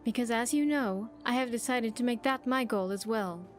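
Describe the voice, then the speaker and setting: A woman's voice: on the high side, normal speed, faint music behind, natural voice. A woman voicing an earnest, grateful young survivor in a video game, chatty and sincere with slightly stilted phrasing. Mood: earnest, hopeful. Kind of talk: video game character dialogue.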